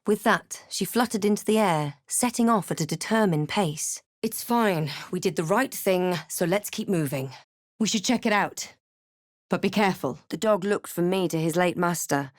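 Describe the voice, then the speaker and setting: Casting: actress as a wry, watchful female adventurer for a fantasy game, measured dramatic delivery, dry studio sound. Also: on the low side, dry recording, lightly acted. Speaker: player character voice in a fantasy role-playing game. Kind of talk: scripted game voice lines and narration.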